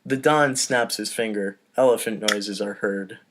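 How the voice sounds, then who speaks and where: A man's voice: on the low side, unhurried, background noise. A man hosting a casual comedy table read, reading stage directions and giving informal, self-deprecating asides.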